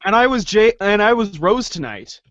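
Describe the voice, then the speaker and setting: High-voiced man hosting playfully. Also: very animated, normal speed, highs cut off, background noise. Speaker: host and cast member. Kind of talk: casual podcast sign-off.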